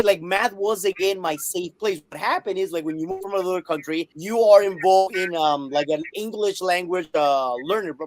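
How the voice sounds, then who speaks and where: A man's voice: high-pitched, fairly bright tone. A man in his thirties from Colombia, whose first language is Spanish, talks as a math teacher and interview guest over a video call, chatty, with many filler likes and restarts. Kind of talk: casual interview.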